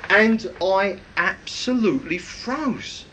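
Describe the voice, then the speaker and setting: A man with a loud, projecting voice, preaching a rambling, anecdotal sermon with many fillers. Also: high voice, slow pace, a little hiss or hum.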